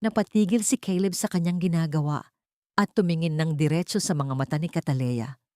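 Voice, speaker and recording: A woman narrating a scripted romantic drama with solemn, measured, dramatic storytelling. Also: low-pitched, a little mellow, dry recording, clean studio sound.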